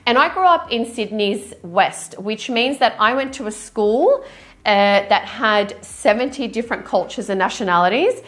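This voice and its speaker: A woman born in Lebanon hosting a wedding industry business talk, speaking in a direct, energetic, coaching way. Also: a little high, moderate pace. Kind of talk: solo business podcast talk.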